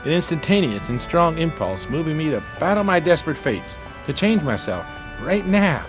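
A man's voice: on the high side, sing-song, moderate pace, music in the background. An actor playing an eloquent, melancholy homeless man of 1920s New York in florid radio-theater style. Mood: stirred, resolute. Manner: florid, grandiloquent, theatrical phrasing.

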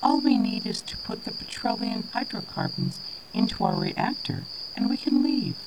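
A woman playing a gentle, pleading alien mother in a radio drama. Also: on the high side, animated, moderate pace, natural voice. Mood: gentle explaining. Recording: very noisy, clicks and insect sounds.

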